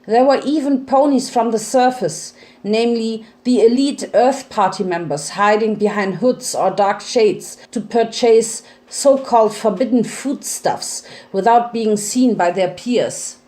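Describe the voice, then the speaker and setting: A woman narrates a story in a dramatic reading style. Her voice sits a little high, and she reads scripted prose as a storyteller. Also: unhurried, warm tone. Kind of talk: dramatic fan fiction narration.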